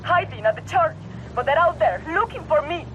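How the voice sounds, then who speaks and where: A woman's voice: sits high, lively intonation, moderate pace, slight background noise. A woman with a Mexican accent playing a frightened, furious drug boss's wife in a video game, agitated and profane. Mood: panicked, angry. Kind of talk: scripted game dialogue. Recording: dull band-limited phone line.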